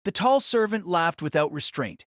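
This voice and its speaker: A man's voice: on the high side, expressive, normal speed, fairly bright tone, no room sound, clean studio sound. A man reading dramatic scripted story narration for an audio drama.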